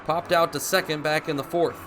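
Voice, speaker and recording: A man's voice: on the high side, brisk, music in the background. A young man calling baseball play-by-play for radio, speaking in short clipped phrases as live commentary. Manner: narrates action in short clipped phrases, building to excited calls.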